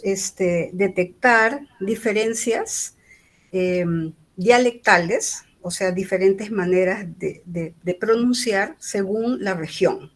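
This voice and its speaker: A lecturer from Peru speaks with a Lima accent. She explains each point patiently, often pausing on fillers and tag questions to check that her listeners follow. Her voice sits at an ordinary middle pitch for a woman. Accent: Lima Spanish. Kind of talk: university lecture.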